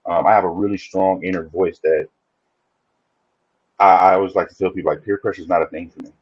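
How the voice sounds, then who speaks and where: A man's voice: low voice, fairly even intonation, a little fast, no room sound, lo-fi sound. A man guesting on a podcast, talking reflectively in a casual interview and often restarting his sentences. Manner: reflective, speaks in restarts and repeats.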